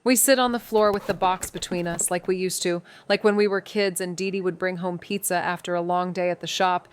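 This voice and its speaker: A woman's voice: lowish voice, fairly even intonation, brisk, a little hiss or hum, lightly acted. An actress playing a skittish, wisecracking female amateur sleuth in a mystery audio drama, blunt, colloquial and sarcastic. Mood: tired, playful. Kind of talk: neo-noir audio drama dialogue and narration.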